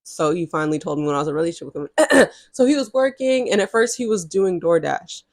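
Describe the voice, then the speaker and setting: A woman's voice: lowish voice, animated, fast, no echo, studio quality. A woman in her early twenties telling a storytime to her viewers in a chatty, sassy way, with frequent direct asides. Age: early 20s. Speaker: vlogger. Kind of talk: casual storytime to camera.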